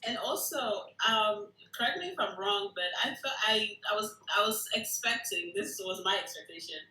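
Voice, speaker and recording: A workshop participant offers casual feedback in a bright, forward voice. The delivery is tentative, working thoughts out aloud, and the room adds a clear echo. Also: mid-range voice, animated, moderate pace. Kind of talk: casual discussion. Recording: roomy, echoing room sound.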